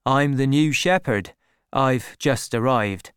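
Woman doing a kind polite young shepherd guy, earnest, clear and slowish, storybook. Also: rumbling low, no room sound, clean studio sound.

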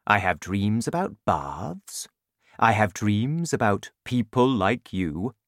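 Woman voicing a slow, gloomy gentle giant man. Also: extremely low pitch, very animated, no room sound, studio quality, heavily acted. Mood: explaining. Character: gentle, gloomy male giant, seven meters tall.

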